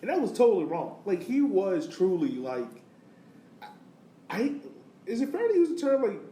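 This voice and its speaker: A man's voice: sits high, sing-song, normal speed, mellow. A man hosting a sports podcast in a casual, conversational way, with informal phrasing. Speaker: podcast host. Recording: roomy, distant mic, faint noise.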